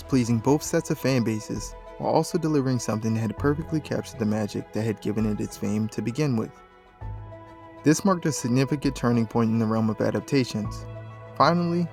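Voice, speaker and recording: A man's voice: mid-range voice, a little mellow. A man reading scripted video essay narration in the manner of a documentary. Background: background music.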